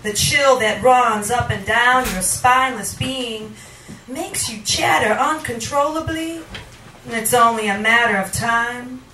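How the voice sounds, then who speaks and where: A middle-aged woman performs poetry in a bright, forceful voice, unhurried and dramatic, slipping at times into sing-song. She is on a handheld mic in a small venue, and the recording is roomy, with some background noise. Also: on the high side, recorded from a distance. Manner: dramatic, deliberate phrasing with pauses, occasionally sing-song. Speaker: featured poet at a reading. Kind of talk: live poetry recitation. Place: small wood-paneled bar venue, indoors. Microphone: handheld microphone, filmed from across the room.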